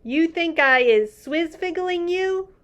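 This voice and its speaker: A woman's voice: very high voice, expressive, slow, pitched above the performer's own voice, full character voice. A woman voicing a big friendly old male giant who talks earnestly in muddled grammar.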